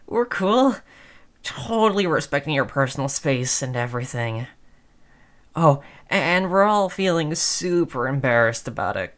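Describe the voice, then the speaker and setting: Audio roleplay zombie, played by a voice performer: affable, wheedling undead pal, slangy sales patter, mid-pitched, slow delivery. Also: a bit bright. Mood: nervous, placating. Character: friendly, wheedling, chatty undead zombie coaxing a survivor. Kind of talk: scripted audio roleplay monologue.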